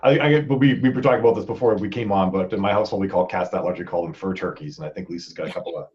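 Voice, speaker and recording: A man's voice: sits low, very fast, darkish tone, lo-fi sound, a little hiss or hum. A man hosting a podcast in casual, jokey conversation, informal in his phrasing. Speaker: podcast host. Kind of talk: casual chat.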